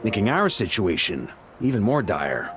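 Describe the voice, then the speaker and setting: An actor playing a relaxed, friendly knight commander who talks in a reflective way. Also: male voice, lowish voice, moderate pace, slight background noise. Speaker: knight back from a long expedition. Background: soft game music.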